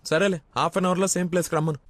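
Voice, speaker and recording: A man's voice: sits high, steady tone, very fast, no echo, clean studio sound, close to an everyday voice. An actor playing a stern middle-aged father in a comedy film, sizing up his daughter's suitor. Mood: stern, skeptical.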